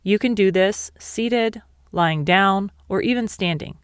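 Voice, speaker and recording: Woman guiding a meditation, clear smooth voice. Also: medium pitch, dry recording, clean studio sound. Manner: calm, measured, instructional. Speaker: meditation teacher. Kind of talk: guided meditation.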